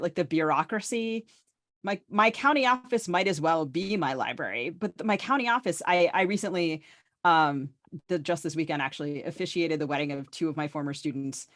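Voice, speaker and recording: A woman host talks casually in a fairly low voice. She rambles a little, with wry asides, as if telling a friend a story. The recording is close and dry. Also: a bit bright, no echo. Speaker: podcast host. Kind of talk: casual conversational storytelling and questioning.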